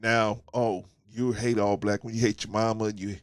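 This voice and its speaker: Man, stuffy nose, lowish voice, dry close sound, emphatic preachy monologue, keeps repeating himself. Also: little pitch movement, moderate pace, dry recording. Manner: emphatic, preachy, repeats his points, asks "right?". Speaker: host of a commentary channel. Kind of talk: casual opinion monologue.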